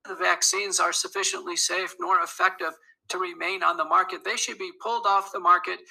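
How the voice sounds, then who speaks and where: High gravelly guy in an interview clip, firm and bright, laying out point after point. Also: flat delivery, a little fast, low-quality recording. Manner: firm, assertive, lists facts steadily. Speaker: doctor interviewed as guest.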